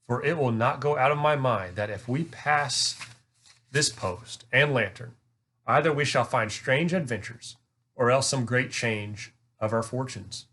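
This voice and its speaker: A man's voice: medium pitch, measured pace, fairly bright tone. A man voicing a thoughtful, brave young queen in a storybook, using formal, archaic courtly speech. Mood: foreboding. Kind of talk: storybook read-aloud.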